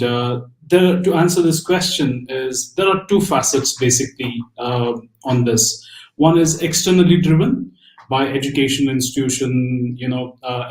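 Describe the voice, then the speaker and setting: A man speaking as a parent panelist, explaining his points in a measured, analytical way. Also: medium pitch, darkish tone, roomy sound, rough recording.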